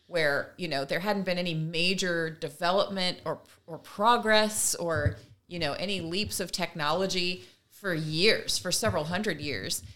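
A woman's voice: on the low side, a bit bright. A woman co-hosting a casual talk show, commenting conversationally and acting out imagined quotes as she explains. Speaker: podcast co-host.

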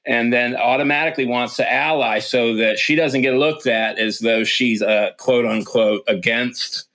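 A man's voice: medium pitch, fairly even intonation, normal speed, a bit bright, low-quality recording. A man hosting a casual discussion, rambling through his point with many fillers and restarts. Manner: rambling, many fillers, frequent "yeah" backchannels.

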